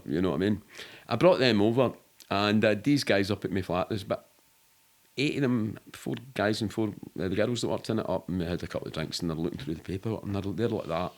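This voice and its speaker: A middle-aged man with a Glaswegian Scottish accent, telling anecdotes casually in a candid, rambling, sweary way. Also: on the low side. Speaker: podcast guest.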